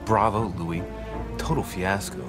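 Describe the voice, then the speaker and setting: An actor playing a guarded young gentleman investigator in a video game, with a measured, dry manner. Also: lowish voice, fairly even intonation, lightly acted. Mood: self-mocking. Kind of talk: video game dialogue and inner monologue. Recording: music behind the voice.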